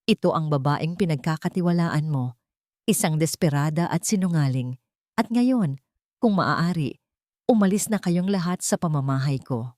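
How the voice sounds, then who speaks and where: A woman's voice: low voice, lively intonation, normal speed, a little mellow, no room sound, studio quality. A woman voicing a haughty, scornful rich matriarch in a dramatic audio story, imperious and contemptuous. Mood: scornful triumph.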